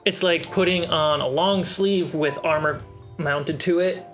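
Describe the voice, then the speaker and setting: A game master with a mid-pitched voice narrates a tabletop adventure, describing the scene in casual phrases. Music plays clearly behind the narration. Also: measured pace, a bit bright.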